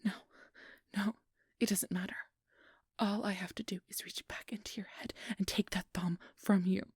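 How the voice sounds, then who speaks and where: A woman's voice: mid-range voice, fairly even intonation, normal speed, darkish tone, breathy edge, dry recording, clean studio sound. A woman playing a possessive, manipulative fairy in an ASMR audio roleplay, imperious and desperate, often whispering her commands. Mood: rattled, pleading. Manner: whispery, commanding, swinging to pleading outbursts.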